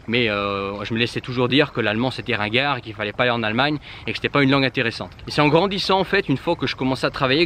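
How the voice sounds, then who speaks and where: A man's voice: mid-range voice, sharp tone, slight background noise. A man whose first language is Germanic, giving casual language-learning advice straight to the viewer, with lots of fillers and restarts. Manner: informal, addresses the viewer directly, many fillers and restarts. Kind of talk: casual direct-to-camera advice.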